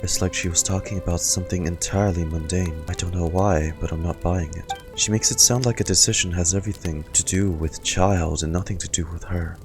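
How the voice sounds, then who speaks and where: A man's voice: sits low, steady tone, brisk, hardly any pauses. A man streaming a game, reading dialogue aloud and reacting to it as he goes. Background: game music.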